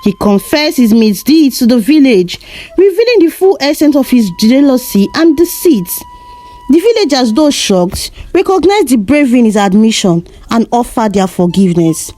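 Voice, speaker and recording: High-voiced woman narrating a solemn folktale. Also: very animated, normal speed, warm tone, soft background music. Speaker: storyteller, narrator.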